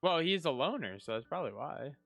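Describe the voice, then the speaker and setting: A man's voice: a little high, sing-song, fairly quick, gravelly, fairly bright tone, soft background music. A man giving casual, offhand reactions while playing a game, often in muttered asides.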